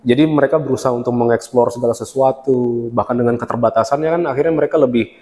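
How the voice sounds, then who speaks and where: Chatty lecturer in a roomy hall. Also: male voice, mid-range voice, fairly even intonation, fast, a little mellow. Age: 30s. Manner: chatty, laughs often while explaining. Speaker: university lecturer. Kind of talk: relaxed interview talk.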